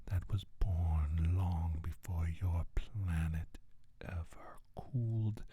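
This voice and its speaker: A man playing a menacing, condescending alien scientist in a slow, soft-spoken ASMR roleplay, with a breathy, husky near-whisper and deliberate, halting phrases. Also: deep voice, no room sound, heavily acted.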